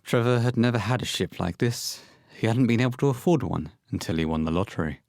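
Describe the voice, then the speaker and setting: A man's voice: lowish voice, brisk, darkish tone, dry recording, studio quality. A man reading scripted narration as the storyteller of an audio horror play.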